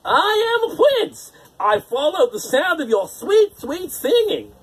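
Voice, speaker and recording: A storyteller playing a gallant, earnest young prince with declamatory, drawn-out delivery in a fairytale. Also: very high-pitched, very animated, voice pushed up, full character voice. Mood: smitten.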